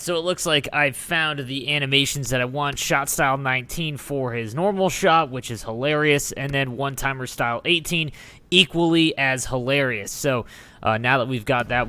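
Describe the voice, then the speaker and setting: A man's voice: medium pitch, moderate pace, pushed and bright, smooth. A man hosting a gaming video, giving casual, enthusiastic commentary in an informal way. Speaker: gaming channel host.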